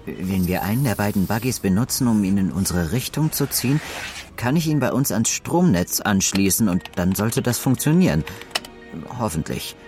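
A careful male engineer on a space mission, with a low voice and a hesitant, cautious way of speaking. Soft music runs underneath the radio-play scene. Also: sing-song, normal speed.

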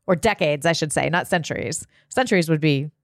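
A woman's voice: low voice, brisk, dry recording. A woman guest-hosting a podcast in casual, conversational talk with little check-in questions.

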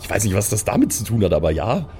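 A man's voice: lowish voice, sing-song, quick pace, warm tone, slightly rough. A man playing a tabletop adventure, talking in character with a hesitant, cautious manner. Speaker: role-playing game player. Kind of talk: improvised in-character chat. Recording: music behind the voice. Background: music.

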